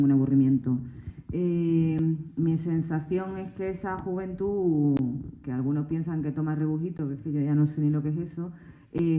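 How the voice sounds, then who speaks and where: A woman's voice: sits low, echoey room, lo-fi sound. A woman from Andalusia interviewing her guests, hesitant and full of fillers before she makes her point. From Andalusia, Spain. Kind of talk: conversational interview questions. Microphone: table mic.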